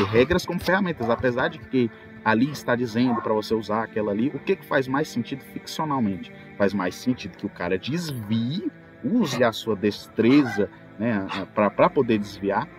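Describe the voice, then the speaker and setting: A man with a Brazilian accent in casual podcast chat, telling things in an animated way with rhetorical questions. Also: fairly low pitch. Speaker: tabletop role-player, podcast speaker. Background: music.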